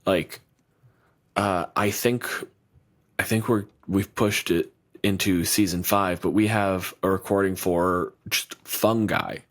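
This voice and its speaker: Low-voiced, profane podcast co-host. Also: male voice, slow. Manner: animated, swears freely, rambling with restarts. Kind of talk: casual chat.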